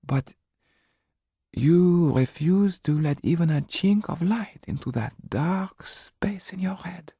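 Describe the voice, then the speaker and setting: A man plays a storybook gentlewoman, kind yet firm. The voice is lifted and breathy, earnest in its delivery, in a close, dry recording. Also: fairly high pitch, slow pace, no echo, pitched above the performer's own voice, heavily acted. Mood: soft, pleading. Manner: earnest, sometimes sharp, sometimes softly breathy.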